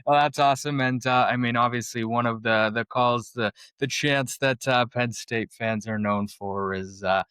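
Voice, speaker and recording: Smooth-voiced enthusiastic male host. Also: mid-range voice, steady tone, moderate pace, hardly any pauses, fairly bright tone, no echo. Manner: enthusiastic, affirming. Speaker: podcast host. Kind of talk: casual interview chat.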